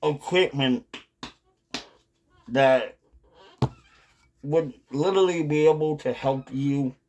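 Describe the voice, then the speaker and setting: A man with a very slow, drawn-out delivery and a medium-pitched voice talks casually to his viewers. He rambles through his commentary with pauses and the odd curse. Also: darkish tone, slight background noise. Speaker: video host, hobby commentator.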